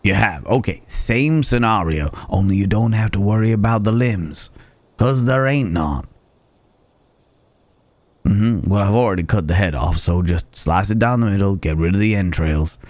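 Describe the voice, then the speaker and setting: A man's voice: fairly low pitch, lively intonation, normal speed, a little mellow, lightly acted. A man playing a wry, caring cowboy stranger in an ASMR Wild West roleplay, talking in folksy, rambling asides, with crickets behind him. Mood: easygoing, reassuring. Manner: folksy, rambling, murmured asides to horse and companion.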